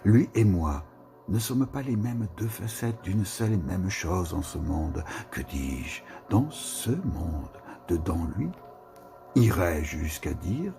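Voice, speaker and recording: A man giving a slow, musing reading of a literary text, with pauses and whispered asides. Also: low-pitched, big pitch swings, gravelly, warm tone. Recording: reading with music behind. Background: music.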